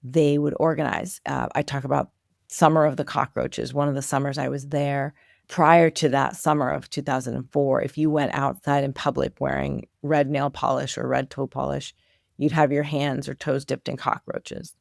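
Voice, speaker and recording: Gravelly woman telling stories, dry sound. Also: a bass voice, normal speed, no room sound. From Iran. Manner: animated storyteller, many "like" and "you know" fillers. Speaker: guest. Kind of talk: casual interview storytelling.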